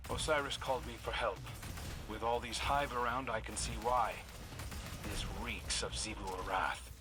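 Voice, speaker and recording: An actor playing a streetwise little machine companion in a video game, wry, casual and pointed. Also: male voice, mid-range voice, steady tone, moderate pace, background noise, natural voice. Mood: pointed, defiant. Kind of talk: video game character dialogue.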